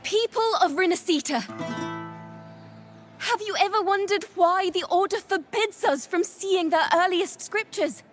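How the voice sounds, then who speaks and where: A woman voicing a young female bard in a video game, defiant and playful, telling her tales in a theatrical, poetic manner. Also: extremely high pitch. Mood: solemn, stirring. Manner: theatrical, measured, poetic delivery. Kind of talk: dramatic storytelling in game voice acting. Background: music.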